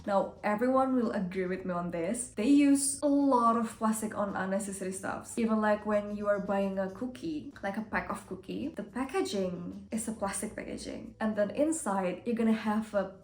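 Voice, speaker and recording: A woman's voice: mid-range voice, moderate pace, hardly any pauses, darkish tone. A woman in her twenties from Indonesia, chatting casually to camera and often acting out other people's voices. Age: 20s. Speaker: vlogger. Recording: roomy sound with faint music.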